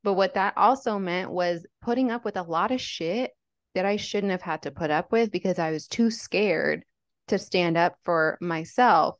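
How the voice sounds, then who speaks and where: A woman's voice: fairly low pitch, normal speed, no room sound. A young adult woman from Lebanon, a podcast guest, talking candidly and earnestly with lots of "like" fillers. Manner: earnest, reflective, frequent "like" fillers. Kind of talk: candid conversational storytelling.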